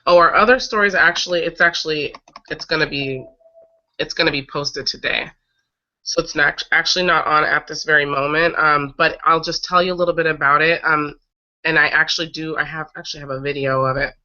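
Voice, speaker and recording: Casting: woman online host, bright but slightly raspy with a cold, halting casual delivery, dull lo-fi call audio. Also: sits low, fairly even intonation. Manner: halting, often pausing mid-sentence to regroup. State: getting over a cold. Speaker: host of an online breastfeeding advocacy show. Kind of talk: casual live webcast chat, recounting stories. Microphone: online video call.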